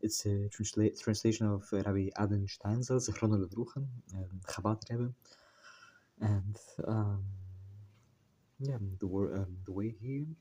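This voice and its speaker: Male channel host, deep dark tone, hesitant delivery with many restarts, working at a put-on American accent. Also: steady tone. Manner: hesitant, full of fillers and self-corrections; deliberately putting on an American accent. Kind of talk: casual spoken introduction to a text study.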